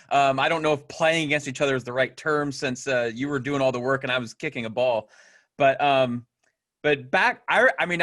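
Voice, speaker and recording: A man's voice: a little high, a bit bright. A man hosting a podcast over a video call, asking casual, friendly questions. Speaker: podcast host.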